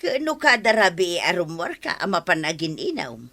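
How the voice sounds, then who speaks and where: A woman's voice: fairly low pitch, big pitch swings, normal speed, bright, forceful tone, exaggerated voice. A woman acting a teasing, scolding village wife in an Ilocano comedy radio drama, with drawling exclamations. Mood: calm nagging.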